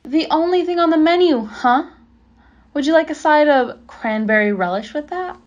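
An actress playing a sarcastic, mocking server on a train diner car in a comedic radio play. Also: female voice, sits high, lively intonation, normal speed, dull audio.

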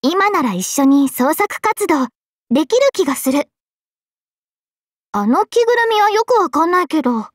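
A woman's voice: very high-pitched, big pitch swings, moderate pace, pauses often, no room sound, studio quality. A woman playing a friendly, thoughtful young girl mage in an anime game, musing in a casual way.

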